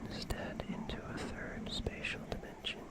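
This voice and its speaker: An ASMR streamer whispering a musing monologue in halting, drawn-out phrases.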